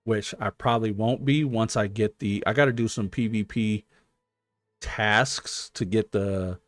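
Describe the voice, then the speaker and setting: A man giving casual gaming stream commentary, explaining things hesitantly as he thinks out loud. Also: on the low side, fairly even intonation, measured pace, no echo, faint music behind. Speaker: game streamer.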